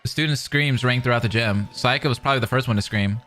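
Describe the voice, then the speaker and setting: Casting: animated male streamer, mid-pitched forceful voice, dramatic reading with excited asides, faint music. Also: fast talker. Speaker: reaction streamer. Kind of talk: reading narration aloud with excited commentary.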